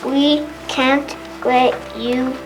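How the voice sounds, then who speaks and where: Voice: high voice, little pitch movement, very slow, rough recording. An amateur performer with a youthful female voice playing a helpful storybook dragon in reader's theater, reading carefully. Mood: matter-of-fact. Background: faint music.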